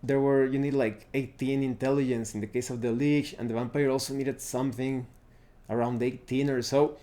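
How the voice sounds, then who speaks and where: A man giving a casual, unscripted review of roleplaying games, pausing now and then to search for words. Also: mid-range voice, fairly even intonation, warm tone. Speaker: tabletop roleplaying game reviewer.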